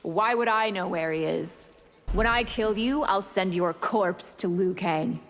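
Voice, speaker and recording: An actress playing a haughty, deadly vampire in a fighting game, speaking with cool disdain and threat. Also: female voice, medium pitch, a little slow, soft background music, natural voice. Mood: cold menace. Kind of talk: fighting game character banter. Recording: heavy background noise, long reverb.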